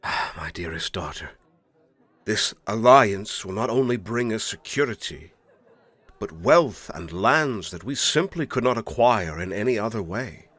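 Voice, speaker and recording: A man's voice: fairly low pitch, lively intonation, a little slow, fairly bright tone, no room sound, some chatter behind. An actor playing a stern, imperious older king in an audio roleplay drama, speaking formally and with weighty dramatic emphasis. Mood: solemn, persuading.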